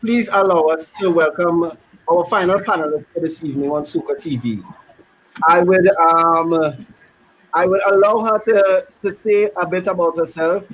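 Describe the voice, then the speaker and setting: Trini host guy, breathy high voice, kinda halting. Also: fairly bright tone, poor audio. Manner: halting, stumbling through introductions. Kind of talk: panel discussion.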